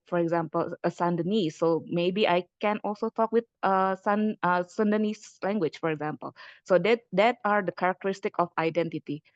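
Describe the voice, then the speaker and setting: A woman from Indonesia giving a webinar lecture as a lecturer, speaking conversationally with frequent fillers and pauses. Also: fairly low pitch, no room sound, muffled recording. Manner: hesitant, many fillers, conversational. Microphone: computer mic on a video call.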